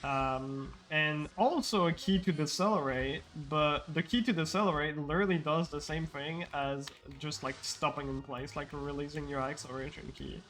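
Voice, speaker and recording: Casual: guy talking thru his speedrun live, kinda higher voice, loose and rambly. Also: normal speed, hardly any pauses, fairly bright tone, soft background music. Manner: casual, explanatory, frequent fillers and restarts.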